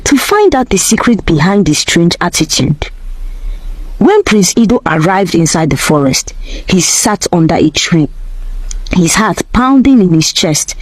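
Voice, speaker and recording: A woman's voice: fairly low pitch, very animated, measured pace, no room sound, rough recording. A woman narrating a scripted folktale as a storyteller.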